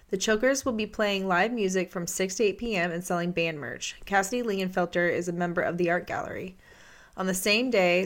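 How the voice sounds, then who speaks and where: A woman hosting a podcast, reading out announcements from notes. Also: on the low side. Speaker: podcast host.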